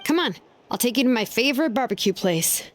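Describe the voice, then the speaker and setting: A woman's voice: a little high, lively intonation, quick pace, a little hiss or hum, close to an everyday voice. A woman playing a capable, friendly young craftswoman in a video game, casual and easygoing as she explains things. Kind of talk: video game character acting. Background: game music.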